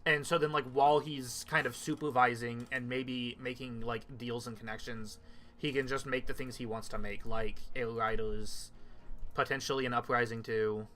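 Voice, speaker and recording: A man's voice: medium pitch, fairly even intonation, normal speed, fairly bright tone. A man chatting casually with friends on a livestream, swearing freely as he talks. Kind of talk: casual group chat on a livestream. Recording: music playing behind the voice. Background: background music.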